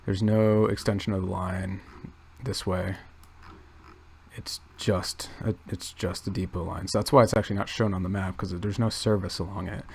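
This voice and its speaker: A man quietly thinking aloud in a gravelly low register, rambling with many restarts and fillers. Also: little pitch movement, normal speed, a little hiss or hum. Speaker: channel host. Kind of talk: casual rambling commentary.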